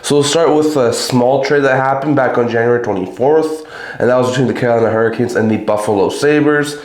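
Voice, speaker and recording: A man hosting a hockey channel, giving a casual solo recap of trades in loose, chatty sentences, his voice a little raspy from a cold. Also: mid-range voice, a little fast, echoey room, low-quality recording. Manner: chatty, talks in loose run-on sentences with fillers.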